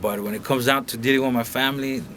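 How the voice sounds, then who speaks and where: Young man from Colombia, interview guest: blunt, profane, streetwise, mid-pitched, faint hiss in a studio. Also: fairly even intonation, quick pace, a bit bright. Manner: streetwise, blunt, profane, with frequent filler phrases. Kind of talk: casual interview talk. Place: recording studio.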